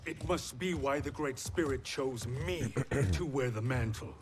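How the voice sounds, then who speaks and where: A man's voice: medium pitch, animated, unhurried, close to an everyday voice. An actor playing a resolute, heroic male warrior in a video game cutscene, speaking in a firm, serious manner. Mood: solemn, defiant. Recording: loud music under the dialogue.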